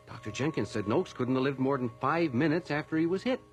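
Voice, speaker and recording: A man's voice: mid-range voice, animated, fairly quick, soft background music, close to an everyday voice. An actor playing a deferential male police constable in a radio drama, formal and somewhat hesitant in reporting.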